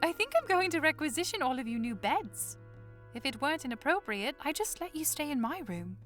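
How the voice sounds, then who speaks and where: An actress playing a young princess who is playful, teasing and self-assured in an audio drama. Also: female voice, high-pitched, sing-song, a little fast, fairly bright tone, soft background music. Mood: casual, bossy.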